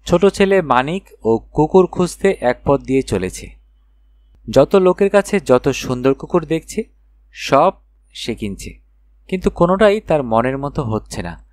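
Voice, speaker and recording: A man narrating a children's fairy tale in an expressive storytelling manner with dramatic phrasing. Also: medium pitch, dry recording. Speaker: audiobook narrator.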